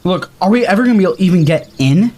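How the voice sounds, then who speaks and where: A man's voice: high voice, expressive, very fast, a little mellow. An actor playing an earnest young male explorer, the group's trivia buff, in an explanatory manner for an audio drama.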